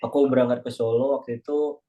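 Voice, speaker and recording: A man's voice: mid-range voice, steady tone, quick pace, warm tone. A young man with an Indonesian accent chatting in a casual online class discussion, joking and self-deprecating. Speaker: class participant. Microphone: video call.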